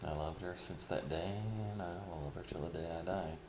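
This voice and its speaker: A middle-aged man speaking a slow, heavy personal confession, pausing often and sighing. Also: low-pitched, steady tone. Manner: slow, heavy, halting with sighs. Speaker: vlogger. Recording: echoey room with clear background noise.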